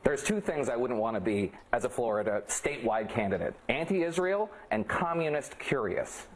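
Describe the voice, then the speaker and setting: A man's voice: medium pitch, expressive, normal speed. A man arguing a pointed political case on a cable news panel, heard in a played-back clip. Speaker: political commentator.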